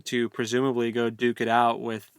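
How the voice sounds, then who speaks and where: Man, podcast co-host, casual book chat, halting phrasing, even pitch, dry close sound. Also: smooth, no echo.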